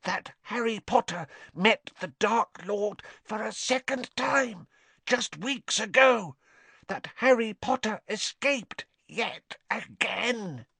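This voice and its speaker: A performer voicing a small male house-elf, servile and anxious, with a squeaky, fretful, reverent way of speaking for a storybook. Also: lively intonation, slow pace, vocal fry, bright, forceful tone, slightly rough, dry recording, heavily acted. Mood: fearful, secretive. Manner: reverent third-person speech, fretful.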